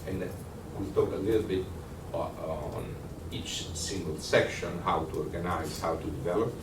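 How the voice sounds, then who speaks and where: Low-voiced italian guy giving a workshop lecture, lots of uhs, sounds far off and noisy. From Italy. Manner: conversational, halting, with many fillers and restarts. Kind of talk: workshop lecture with audience questions. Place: lecture room. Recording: echoey room, distant mic, noisy.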